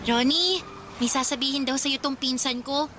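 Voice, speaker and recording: A woman's voice: high-pitched, moderate pace, background noise, natural voice. A woman playing a sentimental, teasing older girl cousin in a radio romance drama, confiding and dramatic.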